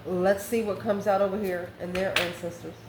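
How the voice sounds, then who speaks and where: A woman's voice: mid-range voice, steady tone, moderate pace. A woman giving a casual, spontaneous tarot reading, talking straight to the viewer in a conversational, exclamatory way. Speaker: tarot card reader. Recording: echoey room with faint background noise.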